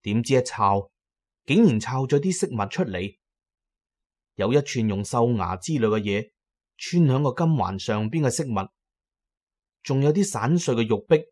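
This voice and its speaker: A man with a Hong Kong Cantonese accent delivers scripted first-person narration for an audio drama. His voice sits at a medium pitch, and he tells the adventure tale as a storyteller. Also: expressive, moderate pace, pauses often, a little mellow.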